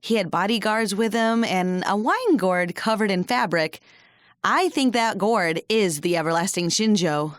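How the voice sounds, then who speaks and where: A woman playing a perky, casual, enthusiastic young sidekick in an audio drama, with a breezy, excitable delivery. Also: mid-range voice, measured pace, no room sound, clean studio sound, natural voice. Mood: curious.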